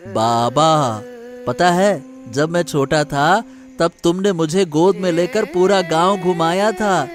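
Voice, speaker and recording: A man playing a gentle, devoted grown son in a cartoon moral story, speaking earnestly and affectionately. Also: a little high, slow, soft background music.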